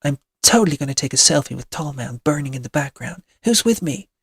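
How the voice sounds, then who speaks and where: A woman's voice: sits low, expressive, brisk, slightly rough, dry recording, studio quality, heavily acted. A woman voicing a mean, bossy young girl in a witch costume, taunting in valley-girl slang. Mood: smug.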